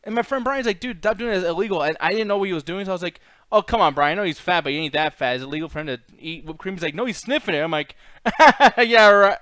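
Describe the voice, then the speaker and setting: A man talks casually at a rapid clip, in a slightly high, clean voice with a close, dry sound. He rambles through profane anecdotes as a show co-host and acts out each person he quotes. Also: a bit bright, no echo. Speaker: co-host of a movie discussion show. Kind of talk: casual chat, animated anecdote telling.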